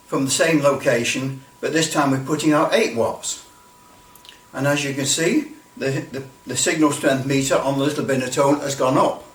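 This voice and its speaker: A man's voice: medium pitch, fairly bright tone. Man demonstrating step by step, echoey and distant. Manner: explanatory, repeats himself, talks through each step. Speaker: presenter of a hobby demonstration. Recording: roomy echo, distant microphone.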